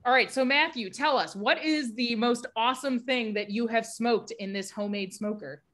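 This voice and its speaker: A woman's voice: a little high, moderate pace, fairly bright tone. Upbeat woman hosting a webinar. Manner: upbeat, chatty, candid. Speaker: webinar host. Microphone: video call.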